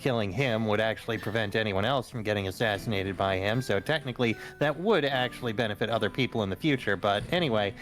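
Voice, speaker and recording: A man's voice: lowish voice, quick pace, hardly any pauses, fairly bright tone, lightly acted, low-quality recording. A man voicing a young man confronting his father's killer, in a casual, jokey way with crude ad-libbed gags, in a comedic fan dub of a video game. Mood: earnest. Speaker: fan dubber. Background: game music.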